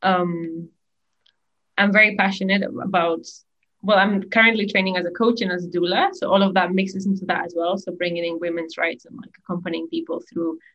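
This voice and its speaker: A woman, a bit low for her sex, talks easily and loosely, filling gaps with "like" and "um". Her voice comes over a remote call with a dull, lo-fi sound. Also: fairly even intonation, fairly quick, a bit bright. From Spain. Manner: chatty, filler-heavy, many "like"s. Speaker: guest. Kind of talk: conversational interview answers.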